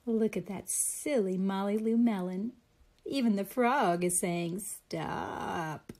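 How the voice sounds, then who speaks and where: A woman's voice: medium pitch, takes it slowly, darkish tone. A woman reading a picture book aloud expressively for young children, explaining things simply like a teacher.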